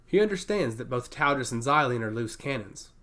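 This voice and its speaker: A man's voice: mid-range voice, expressive, fairly quick, a bit bright, natural voice. A man voicing a grave but hospitable male god of chaos in a fantasy audio story, formal and blunt. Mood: grave warning.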